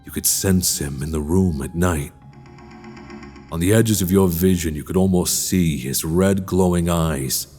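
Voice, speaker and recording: A man playing a sinister horror-story host in an audio drama, narrating in a slow, deliberate, theatrical and menacing way. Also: deep voice, dark tone. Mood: ominous. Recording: long reverb, music underneath.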